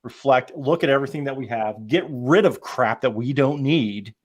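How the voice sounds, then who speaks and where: A man's voice: mid-range voice, moderate pace. Middle-aged man, casual and conversational. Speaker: podcast panelist. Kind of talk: casual chat.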